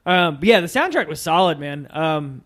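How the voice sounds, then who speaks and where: A man's voice: on the high side, very animated, normal speed, fairly bright tone. A man co-hosting a film podcast, chatting casually and informally outdoors. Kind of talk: casual chat, film discussion.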